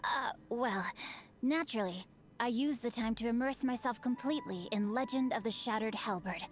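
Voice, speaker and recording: A refined, clever teenage boy swordsman, voiced by a woman, speaks in a composed, formal, eloquent manner. His voice sits a little high. Faint game music plays beneath. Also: moderate pace, lightly acted.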